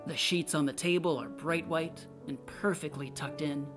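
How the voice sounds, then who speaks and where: Low-voiced woman narrating over music. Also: fairly even intonation, moderate pace. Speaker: narrator reading stage directions. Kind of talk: scripted narration.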